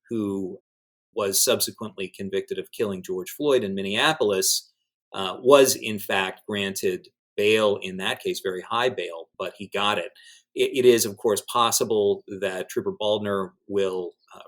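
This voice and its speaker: Male news podcast commentator, lowish register, measured and halting, explanatory. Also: a bit bright. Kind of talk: news recap in conversation with a host.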